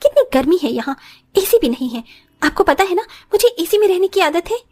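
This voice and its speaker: An actress plays a spoiled young career woman in a Hindi moral cartoon. Her voice sits very high and swings sharply up and down, full of scorn and money pride, with English phrases thrown in. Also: big pitch swings, fairly quick, a little mellow. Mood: scornful. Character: haughty, spoiled young working daughter-in-law who flaunts money. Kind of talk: moral story cartoon acting.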